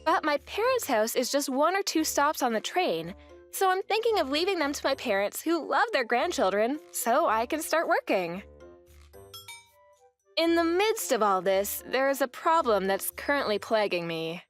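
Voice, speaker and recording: A woman narrating a first-person manga dub story in an expressive, theatrical way. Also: sits high, moderate pace, faint music behind. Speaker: narrator and voice actor.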